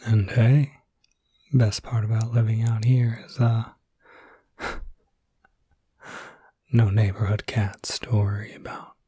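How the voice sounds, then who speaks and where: A tender young werewolf voiced by a man whispers in a very breathy, airy voice. He talks slowly and casually, with soft hesitations, reassuring the listener like a caretaker. The ASMR roleplay is intimate and dry, with no room sound. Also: fairly low pitch, little pitch movement, dry recording. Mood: easygoing, fond. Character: gentle, caring young male werewolf looking after someone.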